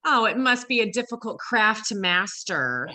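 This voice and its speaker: A woman's voice: medium pitch, very animated, moderate pace, pushed and bright. A woman playing a dry-witted label artist with a sardonic manner in a comedy table reading. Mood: dry, cool. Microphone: video call.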